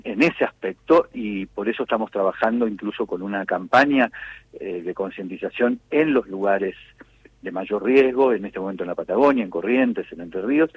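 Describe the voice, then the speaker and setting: An Argentine man answering as an official in a radio interview, speaking in a measured, formal, wordy way. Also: on the low side, slightly rough, phone-quality sound. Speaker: government official being interviewed.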